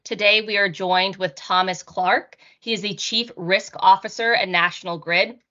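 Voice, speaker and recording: A woman's voice: medium pitch, steady tone, moderate pace, bright, forceful tone, highs cut off. A woman hosting an interview show, opening with an upbeat scripted introduction. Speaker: host.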